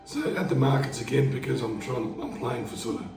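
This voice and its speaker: A man with a hoarse, fading voice, casually explaining how he plays guitar over backing tracks in a laid-back, rambling way. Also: mid-range voice, fairly even intonation, brisk, distant mic. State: hoarse, losing his voice. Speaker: market busker teaching guitar. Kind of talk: casual instructional talk. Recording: roomy sound, away from the mic, guitar playing behind.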